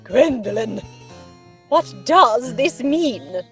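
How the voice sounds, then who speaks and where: A woman's voice: fairly high pitch, animated, very slow, faint music behind. A woman playing an imperious, indignant older aristocratic mother in a period stage comedy, with a pompous, commanding manner. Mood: stern, indignant. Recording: dry home recording over video call.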